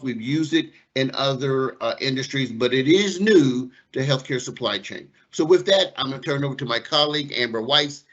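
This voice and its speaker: A man's voice: medium pitch, a bit bright. A man giving an explanatory, conversational business presentation as a technology specialist over an online meeting microphone. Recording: dull compressed online-call audio.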